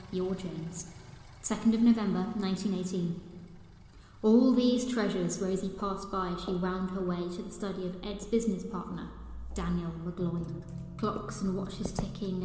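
A woman's voice: mid-range voice, background noise, close to an everyday voice. A woman playing the storyteller of a ghostly Christmas tale, giving scripted storybook narration. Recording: echoey hall reverb, loud music behind. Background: music.

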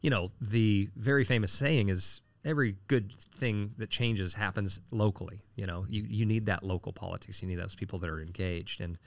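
A man's voice: on the low side, normal speed, gravelly, few pauses, no echo. A man in his late forties, a city council candidate answering interview questions casually, with lots of fillers and restarts. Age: 40s. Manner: rambling, frequent fillers and restarts.

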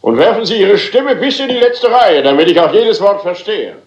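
An actor in a German crime drama playing a pompous, excitable middle-aged theatre troupe director with a theatrical manner. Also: male voice, high-pitched, normal speed, reverberant, highs cut off. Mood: impatient.

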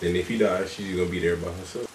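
Very deep-voiced young man reacting. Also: steady tone, fast talker. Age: young adult. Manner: informal slangy talk. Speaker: reaction video host. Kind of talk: casual live reaction to a game. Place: small indoor room at home. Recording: roomy echo, faint game noise behind.

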